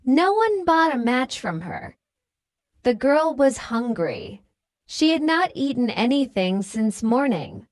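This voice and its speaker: Woman reading a kids storybook, slowish, very up and down intonation, dry sound. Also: a little high, dry recording. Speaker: children's story narrator. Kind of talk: scripted storybook narration.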